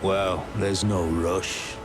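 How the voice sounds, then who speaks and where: Gruff veteran swordsman, low voice, unhurried pace, laconic, friendly mentor, fantasy game, music behind. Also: little pitch movement. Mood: measured, welcoming. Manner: plain-spoken, laconic, unhurried. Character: gruff, seasoned male warrior, friendly battle-arts mentor. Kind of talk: video game character dialogue.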